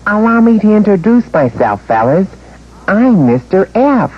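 A man voicing Mister F, a confident newcomer letter character, introducing himself briskly. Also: high-pitched, very animated, husky, full character voice. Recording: echoey lo-fi TV audio with music.

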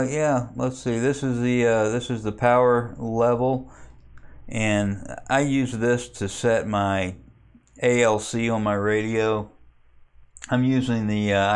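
A man giving an unscripted software walkthrough as a hobbyist, explaining step by step in a plainspoken, halting way. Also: on the low side, clean tone. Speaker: amateur radio hobbyist giving a software tutorial.

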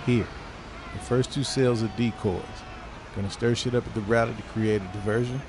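A man's voice: medium pitch, steady tone, brisk, noisy background, lightly acted. A man playing a cornered fugitive informant in an audio drama, speaking in a bitter, curt, streetwise and profane way. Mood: curt, guarded. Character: defiant, cornered male fugitive turned reluctant informant. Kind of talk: scripted audio drama dialogue.

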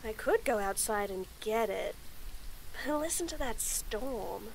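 A young woman voicing a shy, nervous tomboy friend in a romantic roleplay audio, stammering, giggling and speaking softly. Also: fairly high pitch, expressive, a little slow, gravelly, slight background noise, poor audio. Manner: stammering, giggly, soft-spoken. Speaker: voice actor.

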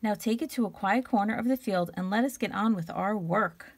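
A woman's voice: medium pitch, brisk, close to an everyday voice. A woman voicing weary, exasperated farm animals in a children's storybook, with a sighing, emphatic delivery. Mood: firm.

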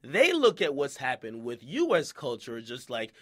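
A man's voice: mid-range voice, wildly swooping pitch, moderate pace. A man from Jamaica hosting casual commentary, who keeps checking in with "okay?" and "right?" and acts out quoted voices. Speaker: commentary host.

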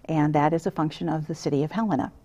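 Woman with a low, mellow voice. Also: steady tone, fast. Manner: earnest, frequent restarts and fillers. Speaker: interview guest, council director. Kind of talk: studio interview. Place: small TV studio set, seated. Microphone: lapel mic.

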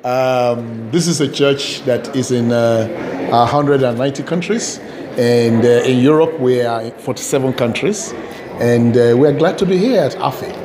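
A man from Nigeria, a church delegate, introducing himself in a measured way with pauses, on a lapel mic indoors. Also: medium pitch, noisy background. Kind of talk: casual interview. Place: indoor hall by a staircase.

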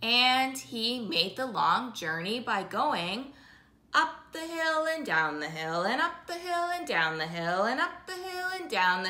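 Children's storytime host, female, high bright voice, rhythmic and sing-song delivery, recorded in a living room. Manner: slow, sing-song, repetitive rhythmic phrasing with drawn-out words. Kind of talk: animated oral storytelling for young children. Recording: roomy indoor echo.

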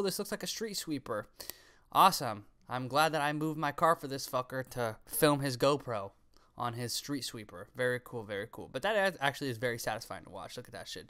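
A young man records casual reaction commentary up close on a studio microphone. His voice sits at a medium pitch, and he talks off the cuff with slang, swearing and playful sarcasm. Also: fairly bright tone, clear, resonant tone. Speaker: reaction video creator. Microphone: close studio microphone on arm, with headset.

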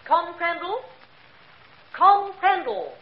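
An actress playing a brisk, businesslike gang secretary in 1940s radio style. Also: female voice, very high-pitched, very animated, full character voice. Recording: muffled, noisy 1940s radio recording.

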